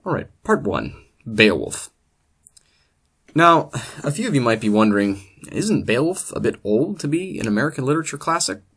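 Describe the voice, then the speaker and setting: A man presenting a comedic scripted literature lecture as host, in a deadpan, mock-scholarly manner. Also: medium pitch, normal speed, slightly rough.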